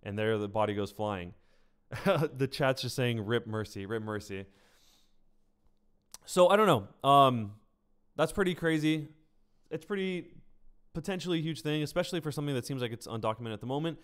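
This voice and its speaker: A man's voice: mid-range voice, expressive, moderate pace, long pauses, fairly bright tone, clear, resonant tone. A man hosting a live game stream, giving casual news commentary in an informal, conversational way, speaking directly to his viewers. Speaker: game streamer and video host.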